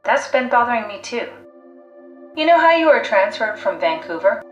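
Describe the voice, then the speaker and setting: A woman's voice: on the high side, big pitch swings, moderate pace, muffled recording. A woman playing a pragmatic, driven, blunt scientist in a video game, analytical, matter-of-fact and persuasive. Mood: insistent. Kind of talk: video game voice acting, naturalistic dialogue. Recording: echoey sound. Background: faint music.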